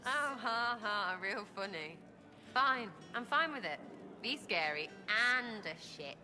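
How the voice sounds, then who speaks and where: A woman's voice: medium pitch, lively intonation, slow pace, over music. A woman playing a brash, irreverent young English commoner in a video game, talking in blunt, choppy slang. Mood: nervous, defiant. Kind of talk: video game character acting.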